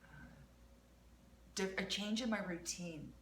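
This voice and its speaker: A woman in her fifties, a guest hypnotherapist, chatting casually in a relaxed and friendly way. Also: mid-range voice, slow. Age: 50s. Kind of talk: casual conversation. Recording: roomy, slight background noise.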